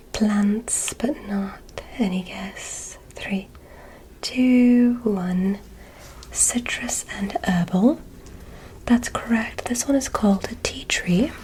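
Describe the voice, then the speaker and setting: A woman playing a gentle, curious alien examiner in a whispered ASMR roleplay, giving soothing, encouraging instructions. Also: mid-range voice, rough recording. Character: gentle, curious female alien examiner visiting from another planet.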